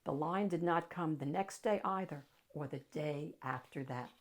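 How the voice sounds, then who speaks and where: A woman narrating a children's picture book aloud with dramatic, expressive storytelling. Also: low voice, moderate pace.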